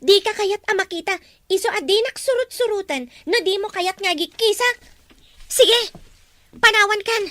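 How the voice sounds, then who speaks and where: A woman's voice: extremely high pitch, expressive, normal speed, fairly bright tone, dry recording. A woman playing a proud, prickly, guarded young female student in an audio drama. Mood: indignant.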